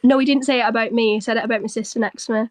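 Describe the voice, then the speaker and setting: A young woman with a Manchester accent hosting a live stream, chatting casually in a relaxed, amused way. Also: on the high side, very fast, no room sound. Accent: Manchester English. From Manchester, England. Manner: relaxed, amused, often laughs.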